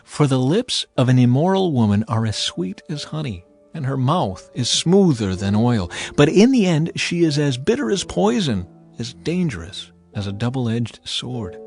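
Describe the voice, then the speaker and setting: Male narrator for dramatized scripture audiobook, mid-pitched, highly varied intonation, theatrical exhorting delivery, faint music underneath. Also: vocal fry.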